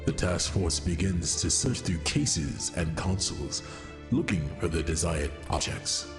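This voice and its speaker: A man's voice: low-pitched, lively intonation, a little slow. A man narrating a space adventure audio drama as a solemn scripted storyteller. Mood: measured. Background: music.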